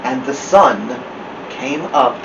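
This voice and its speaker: A man's voice: mid-range voice, expressive, slow pace, vocal fry, recorded from a distance, muffled recording, background noise. A man hosting a children's story show, reading aloud expressively with playful, chatty asides to the listeners.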